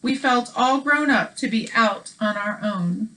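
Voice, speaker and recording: Higher-voiced woman reading a children's book aloud. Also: a little slow, a bit bright, rough recording. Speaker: read-aloud co-host. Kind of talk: children's book read-aloud with casual chat.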